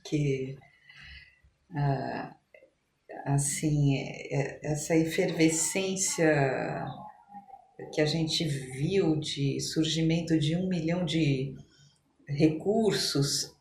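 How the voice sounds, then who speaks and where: Older sao paulo lady chatting on a podcast, lowish voice, hesitant, roomy sound w bit of noise. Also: very slow, pauses often, darkish tone. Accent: São Paulo Brazilian. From São Paulo, Brazil. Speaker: podcast guest. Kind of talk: conversational interview, personal reminiscing. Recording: echoey room with faint noise.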